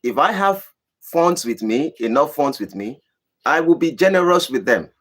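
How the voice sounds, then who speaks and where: A man from Nigeria with a Nigerian accent, hosting a live stream and answering viewer questions in a deliberate, emphatic way. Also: animated, a bit bright. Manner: deliberate, emphatic, rising to high emphatic bursts. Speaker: channel host.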